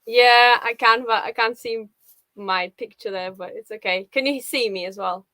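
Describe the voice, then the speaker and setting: Russian woman guest, 40s, bright higher voice, dry close sound, hesitant with fillers. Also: normal speed, no echo. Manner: hesitant, with many fillers and restarts. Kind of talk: casual conversation on a live video call. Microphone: video call.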